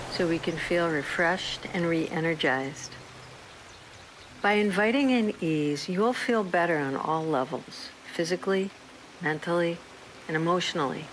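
Soft-voiced woman guiding a meditation. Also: lowish voice, slight background noise, rough recording. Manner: slow, soothing, gentle pauses. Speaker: meditation guide. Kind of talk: guided meditation narration.

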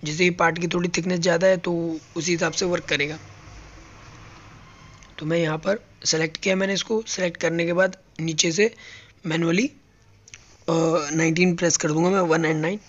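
A man's voice: a little high, steady tone, moderate pace, long pauses, dull audio. A man teaching robot welding step by step, explaining each button as he presses it on a factory floor. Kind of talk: hands-on tutorial.

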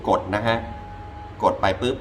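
A man giving a casual hands-on gadget review, informal and repeating himself as he demonstrates step by step. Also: measured pace. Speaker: product reviewer. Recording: clearly noisy ambient background.

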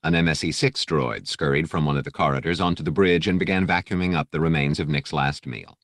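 Very deep male audiobook narrator. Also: brisk, a bit bright, no echo, studio quality. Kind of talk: scripted narration of an adventure novel.

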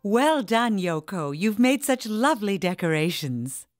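A kindly cartoon kindergarten teacher encourages her class in a mid-pitched voice. Her intonation is lively and expressive, with faint music underneath. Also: unhurried. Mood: encouraging. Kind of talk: children's cartoon voice acting.

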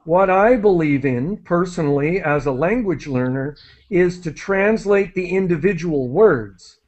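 High-voiced man teaching over a video call. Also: expressive, measured pace, darkish tone. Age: middle-aged. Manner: friendly, explanatory, with many fillers and restarts. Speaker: English teacher hosting an online class. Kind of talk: live online English lesson. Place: small room indoors. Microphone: headset on a video call. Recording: dull lo-fi video call audio.

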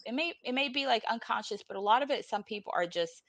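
A woman's voice: a little high, lively intonation, fast, a bit bright, no echo. A woman in her forties from Puerto Rico, speaking candidly and bluntly on a video-call panel, sounding worn out. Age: 40s. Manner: blunt, informal, salty. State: weary, emotionally exhausted. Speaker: podcast guest. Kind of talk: candid personal panel talk. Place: room at home, on a video call.

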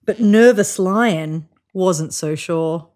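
A woman in her thirties reading a children's picture book aloud on a sofa, with voiced sound effects and hushed whispers. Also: fairly low pitch, takes it slowly, a little hiss or hum. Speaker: storybook reader. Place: indoors on a sofa.

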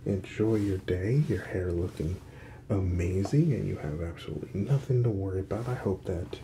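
A man in his thirties doing a soothing ASMR roleplay in a soft, breathy whisper and murmur, gentle and reassuring. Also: low voice, normal speed, slight background noise, rough recording. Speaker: ASMR performer as hairdresser. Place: small indoor room set up as a salon.

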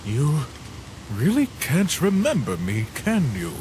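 A man's voice: fairly high pitch, wildly swooping pitch, takes it slowly, background noise, heavily acted. A man voicing a sinister, mocking phantom in a comic dub, taunting and theatrical. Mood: taunting, cold. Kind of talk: fan comic dub acting.

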